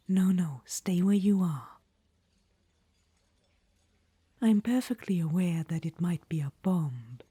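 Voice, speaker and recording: A woman's voice: on the low side, dark tone, husky, dry recording, full character voice. A woman voicing an imperious, fond undead witch-queen in an ASMR roleplay, whispering throughout and calling the listener darling. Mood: watchful. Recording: dry close-mic whisper.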